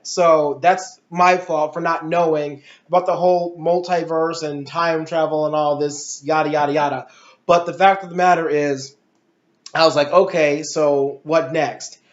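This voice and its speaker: An adult man giving a casual, opinionated movie review in a blunt, exasperated, slangy way. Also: on the high side. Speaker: video reviewer and host. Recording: echoey room, dull lo-fi audio.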